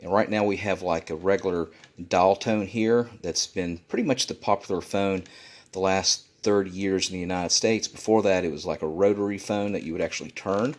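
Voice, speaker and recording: A man with a fairly low voice walks through a practical demonstration in a casual way. He explains each step plainly and often restates it to be clear. He is recorded indoors at a desk. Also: moderate pace. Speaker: instructor, video presenter.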